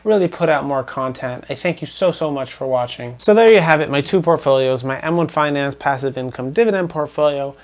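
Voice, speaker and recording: A man's voice: a little high, brisk, few pauses. A young man with a slightly scratchy voice giving a rambling, earnest update on his investments to camera, full of ums and restarts. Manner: rambling, filler-heavy, earnest. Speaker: finance vlogger. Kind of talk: casual portfolio update to camera.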